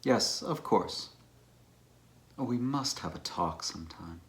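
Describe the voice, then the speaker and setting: A man's voice: lowish voice, animated, slow pace, fairly bright tone. A man playing a self-satisfied academic bachelor with a musing, urbane manner in a dramatized novel. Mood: awkward.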